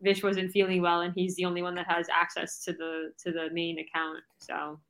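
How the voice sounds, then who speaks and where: A woman co-hosting a casual podcast from home, chatty and quick to laugh. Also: lowish voice, monotone, fairly bright tone. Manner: chatty, laughs often, restarts. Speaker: podcast co-host. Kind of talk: casual chat. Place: home apartment.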